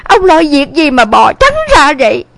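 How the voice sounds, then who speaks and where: A woman's voice: high voice, big pitch swings, normal speed, vocal fry, a bit bright, no room sound, exaggerated voice. Casting: fiery middle-aged village mother played by a woman, southern Vietnamese accent, swooping delivery, scolding and exasperated, dry audio-story recording. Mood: bitter, upset. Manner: scolding, exclamatory, dramatic. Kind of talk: dramatised audio story acting.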